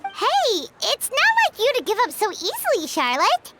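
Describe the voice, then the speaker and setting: Game fairy sidekick, childlike and chatty, extremely animated intonation, with loud noise behind the voice. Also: female voice, moderate pace, exaggerated voice. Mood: curious, playful. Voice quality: squeaky, childlike. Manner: bubbly, chatty, exclamatory. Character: tiny cheerful female fairy companion, childlike and excitable. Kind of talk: video game voice acting.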